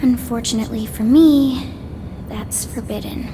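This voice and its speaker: A woman playing an excitable, romantic young woman in an anime game dub, with a gushing, dramatic way of talking. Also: fairly high pitch, measured pace, background noise. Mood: hesitant.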